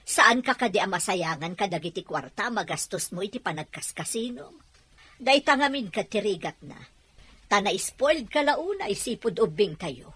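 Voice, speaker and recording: A woman playing a stern, nagging elder sister with a husky, sharp, scolding delivery in an audio drama. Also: mid-range voice, expressive, normal speed.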